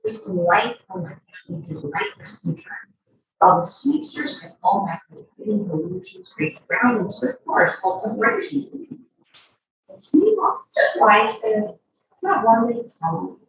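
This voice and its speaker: A woman reading a picture book aloud to a child, expressive, drawing out words and adding chatty asides. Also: medium pitch, a little slow, very echoey, far from the mic, slight background noise, poor audio.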